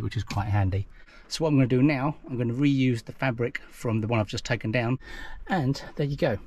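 A man's voice: medium pitch, gravelly, a little mellow, slight background noise. Chatty man in his car. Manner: chatty, self-deprecating, thinking aloud. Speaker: vlogger. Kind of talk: casual how-to narration. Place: inside a parked car. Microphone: action camera mounted in the car.

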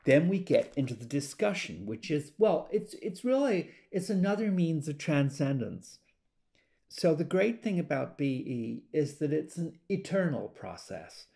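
Audio drama: gentle self-help devotee, hesitant and earnest, animated pitch, creaky voice. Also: male voice, measured pace, heavily acted.

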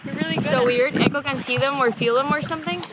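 A young woman vlogging casually from a sailboat deck, chatty and excitable with lots of "like," into a handheld action camera. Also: high voice, fast, highs cut off. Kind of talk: casual vlog narration. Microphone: action camera held close. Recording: loud wind and water noise.

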